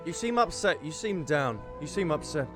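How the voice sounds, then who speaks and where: Animated, teasing male gaming streamer. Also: fairly high pitch, normal speed, over music. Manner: teasing, jokey, repeats phrases. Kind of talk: casual game playthrough commentary.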